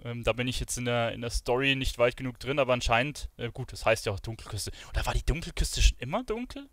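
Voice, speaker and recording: A man's voice: mid-range voice, expressive, fast, a bit bright, dry recording. A man giving casual let's play commentary, musing aloud in slangy language. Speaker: gaming streamer playing and commenting.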